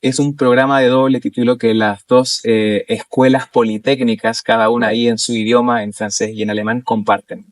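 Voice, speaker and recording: Young Chilean man as interview guest, halting with fillers, dry room, mic set a bit off. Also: mid-range voice, level tone, no room sound, far from the mic. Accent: Chilean Spanish. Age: young adult. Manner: hesitant, many fillers and restarts. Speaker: interview guest, doctoral student. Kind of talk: casual interview chat.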